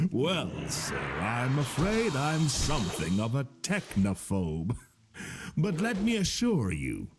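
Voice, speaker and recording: A man voicing a jovial, mysterious old male book salesman, speaking slowly and theatrically in a grand, drawn-out way. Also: mid-range voice, wildly swooping pitch, background noise, pitched above the performer's own voice. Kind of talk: storytelling narration.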